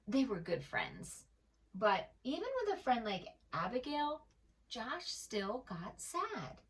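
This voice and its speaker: A woman reads a picture book to small children with a gentle touch and lots of melodic movement. She takes her time over each phrase and speaks to the young listener directly. The microphone sits a little way off. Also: mid-range voice, recorded from a distance. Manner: expressive, gentle, asks the listener questions. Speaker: children's storyteller. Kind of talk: storybook read-aloud for preschoolers.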